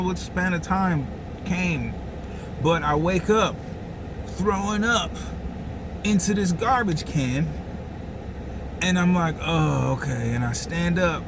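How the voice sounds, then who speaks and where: A man's voice: fairly high pitch, background noise. A man telling a comic personal story casually, with slangy asides and phrases repeated for dramatic effect. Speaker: storyteller and host.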